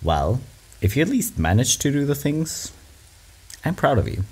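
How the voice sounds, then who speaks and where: Guy, lively swoopy voice, reacting to memes, rambly and chill. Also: mid-range voice, moderate pace, slightly rough. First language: German. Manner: rambling, animated, laughs often, swears. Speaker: video creator reacting to memes. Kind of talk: casual meme reaction chat.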